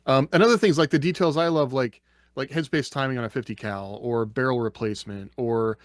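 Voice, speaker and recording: A man's voice: mid-range voice, a little fast, no room sound, studio quality. A man giving his opinions casually as a panelist in a podcast discussion.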